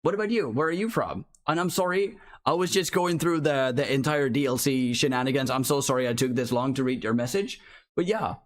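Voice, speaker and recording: A man's voice: mid-range voice, expressive, brisk. A man from Finland, chatting as a streamer over live gameplay and reading chat aloud, often apologizing.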